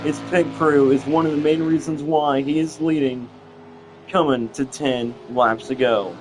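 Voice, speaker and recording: Young man calling a racing game, slow and halting. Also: fairly high pitch, steady tone, rough recording. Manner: halting, repeats phrases, restarts. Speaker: race commentator. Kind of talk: play-by-play racing commentary. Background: music and engine noise.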